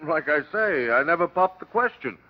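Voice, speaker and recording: An actor playing a big, resentful, streetwise suspect talking in offhand slang in an old radio drama. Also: male voice, on the high side, expressive, normal speed, close to an everyday voice. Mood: defensive, resentful. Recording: dull, lo-fi old radio recording.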